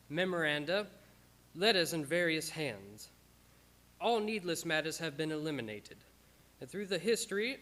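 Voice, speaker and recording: A man's voice: a little high, fairly bright tone. A man playing an earnest witness who formally presents grave evidence in a radio drama reading.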